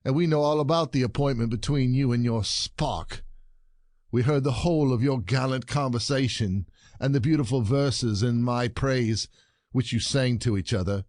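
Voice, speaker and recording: Guy acting a wronged husband in an old stage comedy, sardonic and gloating, mid pitch, dry sound. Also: normal speed, a little mellow, no room sound, natural voice. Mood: gloating triumph. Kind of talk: classic stage comedy audio play.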